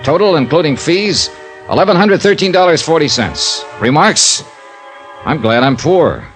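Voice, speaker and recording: An actor in a 1950s radio drama playing a sardonic, hard-boiled insurance investigator with clipped, deadpan wit. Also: male voice, a little high, normal speed, soft background music. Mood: wry narration. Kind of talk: 1950s radio acting and narration. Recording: old radio show recording.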